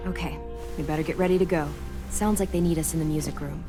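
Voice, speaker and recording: A wry teenage girl survivor in a video game, played by a woman, with a fairly low voice. She is guarded yet tender, with faint music behind her. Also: steady tone, quick pace, natural voice.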